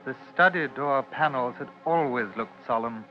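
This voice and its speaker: A British man narrating a radio drama in a measured, literary storytelling manner. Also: mid-range voice. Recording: dull old radio broadcast with music.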